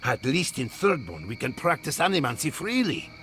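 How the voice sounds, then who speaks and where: An actor playing a principled, dryly affectionate scholar mage in a video game, speaking with wry expression. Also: male voice, medium pitch, big pitch swings, moderate pace, fairly bright tone. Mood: earnest. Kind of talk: scripted video game dialogue.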